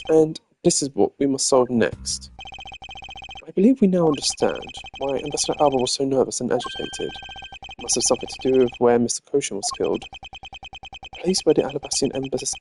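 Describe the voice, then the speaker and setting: A man voicing a composed, analytical prosecutor in a video game, speaking in a deliberate, formal manner. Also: mid-range voice, huge pitch swings, background noise. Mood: measured. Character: composed, analytical male prosecutor investigating a murder. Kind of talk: voiced video game dialogue read live. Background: game music.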